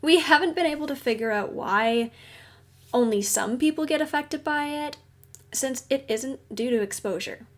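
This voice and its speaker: A woman playing a kindly, professional agent in an audio roleplay, gently explaining things and reassuring the listener in a conversational way. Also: sits high, normal speed, natural voice. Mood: explanatory. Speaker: agency guide briefing a newcomer.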